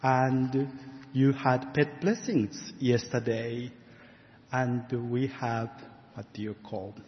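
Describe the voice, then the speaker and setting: Middle-aged man, japanese accent, guest preacher, measured and careful, long echo of a church, dull sound. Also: mid-range voice. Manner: careful, measured delivery with gentle laughs. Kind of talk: sermon. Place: church sanctuary.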